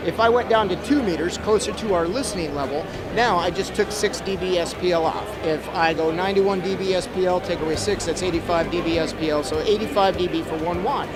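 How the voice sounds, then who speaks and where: A man's voice: sits high, fairly quick, few pauses, over a noisy crowd, poor audio. A middle-aged man giving a casual, step-by-step technical explanation as an expert guest in an interview. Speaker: expert guest, audio trainer. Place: busy trade show floor. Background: music.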